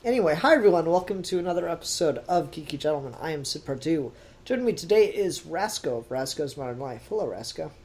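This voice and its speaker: A man's voice: fairly high pitch, animated, fast talker, darkish tone. A man hosting a podcast in a casual, rambling review style with a wry, sarcastic edge. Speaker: podcast host.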